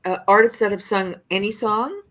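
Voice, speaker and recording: A woman's voice: mid-range voice, moderate pace, fairly bright tone. An older woman, a singer-songwriter, talking reflectively and expressively about her craft in a podcast interview, often restarting her sentences.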